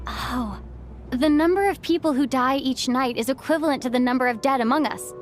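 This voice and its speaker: A level-headed young woman traveler, voiced by an actress in a game cutscene, her voice on the high side. She reasons things through in a measured, reflective way over clearly audible music. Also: natural voice. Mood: pensive. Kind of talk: video game cutscene acting.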